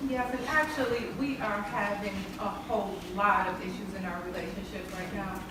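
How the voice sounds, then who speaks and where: A woman's voice: medium pitch, fairly even intonation, moderate pace, noisy background, natural voice. A woman acting an earnest, devoted wife with a troubled marriage in a stage play. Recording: echoey stage, distant mic, loud music.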